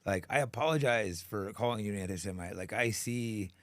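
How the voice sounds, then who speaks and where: A man's voice: fairly low pitch, fairly quick, dry recording. A man hosting a podcast, chatting casually in an informal, slangy way with some swearing. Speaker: podcast host. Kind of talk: casual interview chat.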